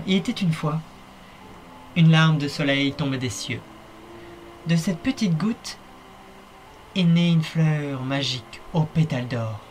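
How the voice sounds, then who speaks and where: A man's voice: fairly high pitch, slight background noise, rough recording. A man narrating a fan-dubbed fairy tale in a playful, wry way, speaking straight to the viewer. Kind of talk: fan-dub storytelling narration.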